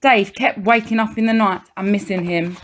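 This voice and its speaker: A woman reading a children's storybook aloud like a primary teacher, expressively, with deliberate pauses and emphasis for young listeners. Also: medium pitch, fairly even intonation, slight background noise, low-quality recording.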